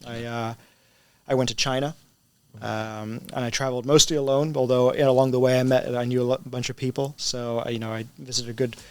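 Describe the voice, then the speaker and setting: Middle-aged male teacher in a casual interview: hybrid international English accent, crisp resonant tone, very dry recording, frequent "right?" check-ins. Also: medium pitch, steady tone, brisk, dry recording. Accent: mixed English, American and international. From Spain. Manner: conversational, often checks with "right?".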